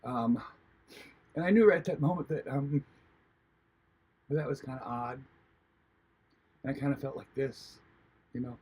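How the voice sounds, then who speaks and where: Husky-voiced man telling a personal story. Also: mid-range voice, darkish tone. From Hawaii. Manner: halting, many restarts and fillers; earnest, reflective. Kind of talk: personal testimony, casual storytelling.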